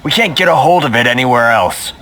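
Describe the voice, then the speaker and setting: An actor playing a worried, resigned foreign merchant in a video game, with a measured, plaintive delivery. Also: male voice, medium pitch, lively intonation, pushed and bright, a little hiss or hum. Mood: explaining. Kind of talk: video game dialogue acting. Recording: game music behind.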